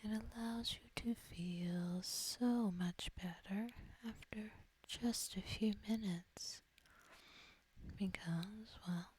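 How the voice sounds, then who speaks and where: A woman softly whispering a guided sleep hypnosis in a breathy, husky voice, gentle and soothing, with long deliberate pauses. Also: mid-range voice, steady tone. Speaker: ASMR host guiding sleep hypnosis. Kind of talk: soft-spoken ASMR guided relaxation.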